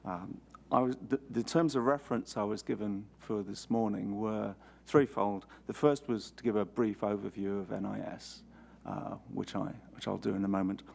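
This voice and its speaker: An older man giving formal testimony to a committee as an actuary, measured and hesitant with many restarts. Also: fairly low pitch, fairly even intonation, vocal fry, a little mellow. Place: parliamentary committee room.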